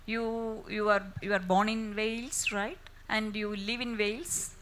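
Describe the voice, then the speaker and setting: A woman moderating a literature festival panel, asking quick, informal questions with frequent restarts. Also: medium pitch, steady tone, a bit bright, a little hiss or hum. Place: festival stage.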